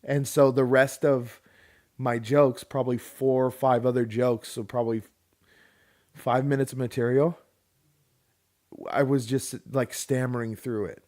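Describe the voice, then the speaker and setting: A man's voice: medium pitch, measured pace, pauses often. A man in his late thirties chatting on a podcast, his voice sitting in an ordinary middle range. He tells a long, rambling story and jumps into the voices of the people in it. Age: 30s. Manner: rambling, animated, acts out quoted people. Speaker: podcast co-host. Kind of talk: casual chat, storytelling.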